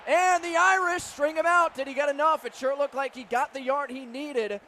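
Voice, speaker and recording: A man doing live football play-by-play for radio, giving crisp, detailed calls of each situation. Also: very high voice, steady tone, normal speed, pushed and bright. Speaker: play-by-play announcer. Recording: clear stadium noise behind.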